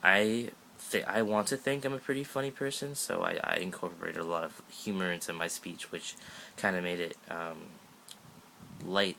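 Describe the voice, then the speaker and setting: A sixteen-year-old Canadian guy rambles through a casual vlog update, joking and restarting his sentences. His voice sits low, with a bright, forceful edge. He's filming on a phone held at arm's length, with a faint hiss of background noise. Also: normal speed, creaky, poor audio. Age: teens. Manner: rambling, chatty, jokey, many fillers and restarts. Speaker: vlogger.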